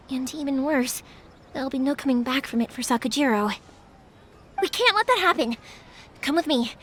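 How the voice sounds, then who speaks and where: A woman's voice: high-pitched, a bit bright. An actress playing a kind, spirited young woman in a video game, protective and upbeat. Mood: determined. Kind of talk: English video game voice acting.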